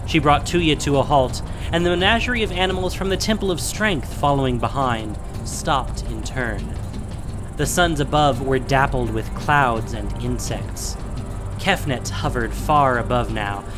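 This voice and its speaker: A man narrating a fantasy audio drama in a measured, theatrical storytelling style. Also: mid-range voice. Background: music and sound effects.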